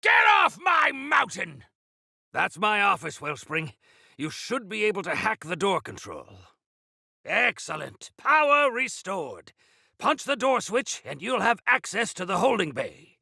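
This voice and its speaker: A stalwart older clan leader from a video game, proud and formal in an old-fashioned way. The voice is gravelly and forceful, swinging dramatically across its range. The delivery is theatrical, as befits a chief of mountain folk. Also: male voice, high-pitched, sing-song, measured pace, no room sound, studio quality, exaggerated voice. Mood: fierce, rallying, then encouraging. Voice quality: gravelly, rough-edged. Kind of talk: video game character acting.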